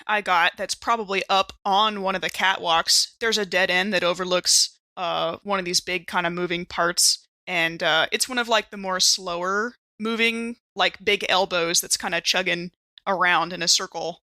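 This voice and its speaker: A woman's voice: fairly low pitch, fairly even intonation, moderate pace, sharp tone, no room sound. A woman playing a tabletop game, casually improvising details about her character and thinking aloud in loose, conversational phrases.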